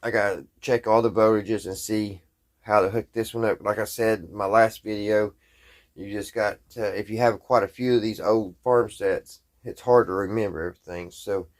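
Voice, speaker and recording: Low-voiced man, folksy and halting. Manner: folksy, halting, thinking aloud. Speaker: hobbyist presenter. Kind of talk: casual hands-on demonstration to camera.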